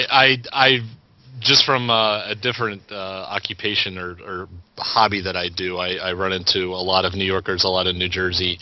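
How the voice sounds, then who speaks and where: Low-pitched male co-host, rambling, dry and dull lo-fi sound. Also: dry recording. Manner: rambling, many fillers and restarts. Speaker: podcast co-host. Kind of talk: casual chat.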